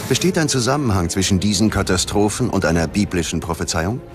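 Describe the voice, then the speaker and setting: A man's voice: sits low, animated, fast talker. A man narrating a documentary from a script in a grave, dramatic manner. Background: background music.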